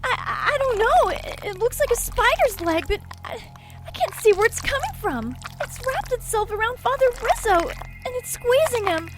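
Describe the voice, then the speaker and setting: An excitable, scared nun in an audio drama. Her very high voice leaps about, halting and breathless with alarm. Faint noise sits behind her. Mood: horrified. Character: frightened, excitable nun witnessing horror.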